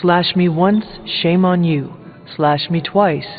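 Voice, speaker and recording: Solemn, literal-minded game warrior hero. High male voice, formal delivery, music behind. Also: takes it slowly, phone-quality sound. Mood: earnest, resolute. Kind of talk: video game dialogue acting. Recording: thin band-limited game audio, long reverb, music.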